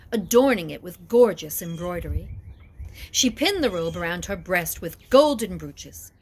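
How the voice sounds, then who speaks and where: A woman reading epic poetry aloud as dramatic narration, with deliberate, expressive phrasing. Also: lowish voice, slight background noise, rough recording. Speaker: reader of an epic poem.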